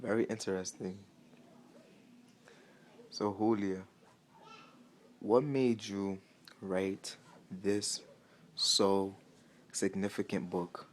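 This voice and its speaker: A man playing the interviewer in a casual school role-play, putting his questions out in halting pieces. He speaks extremely slowly in a fairly low voice. A faint ambient hiss sits behind him. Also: steady tone. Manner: halting questions with long pauses and restarts. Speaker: mock interviewer.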